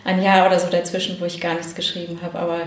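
A woman press spokesperson speaking as an interview guest, a little low in pitch. She answers reflectively, with many small fillers. The room around her gives a clear echo. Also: completely flat delivery, very fast. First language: German. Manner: thoughtful, fluent with frequent fillers. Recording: echoey room.

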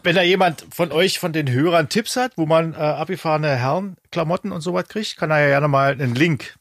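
A man's voice: fairly high pitch, brisk, a bit bright, no echo, studio quality. A man talking casually on a podcast, thoughtful with a few filler hesitations.

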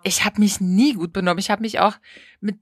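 A woman's voice: medium pitch, fairly even intonation, brisk, no room sound, clean studio sound. A young woman co-hosting a podcast, chatting casually in a frank, teasing way. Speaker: podcast co-host. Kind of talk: casual chat.

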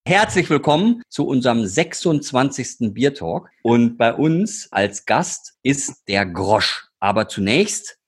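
A man hosts a relaxed beer-tasting talk show, welcoming his guest and asking questions. His voice is a little husky. His pitch moves around a lot as he talks. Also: a little slow, hardly any pauses. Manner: lively, welcoming interviewer. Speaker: podcast host. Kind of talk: casual chat, beer tasting.

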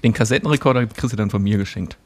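A man's voice: lowish voice, very fast, noisy background. A German-speaking man co-hosting a podcast, chatting loosely and joking with his friend. He keeps tacking a questioning "ne" onto his sentences. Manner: chatty, joking, often ends with "ne?". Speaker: podcast co-host. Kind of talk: casual chat.

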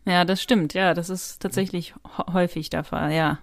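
A woman's voice: fairly low pitch, fairly even intonation, moderate pace, no room sound, studio quality. A woman co-hosting a podcast, chatting casually as she discusses a story. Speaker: podcast co-host.

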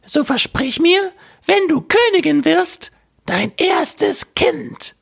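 A man voicing a small, sly, bargaining little gnome in a slow, squeaky, creaky falsetto for a fairy tale. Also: sing-song, fairly bright tone, exaggerated voice. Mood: demanding. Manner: slow, exaggerated, swooping.

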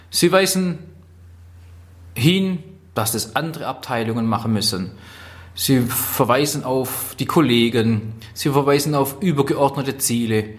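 A man with a Swabian German accent gives an instructional talk as a trainer, phrasing deliberately and stressing key words. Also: mid-range voice, fairly bright tone. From Swabia, southwest Germany. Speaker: trainer, speaker. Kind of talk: instructional talk on workplace skills.

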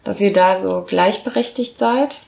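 Hoarse, creaky-voiced woman chatting. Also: mid-range voice, a little slow, a little mellow. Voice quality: rough. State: slightly hoarse from a cold. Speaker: podcast co-host. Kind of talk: casual conversation.

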